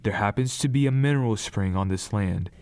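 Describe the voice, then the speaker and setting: A man's voice: fairly low pitch, a little fast, darkish tone, no echo, close to an everyday voice. A man acting a stern, scheming older lawyer father in a radio drama, speaking formally and insistently.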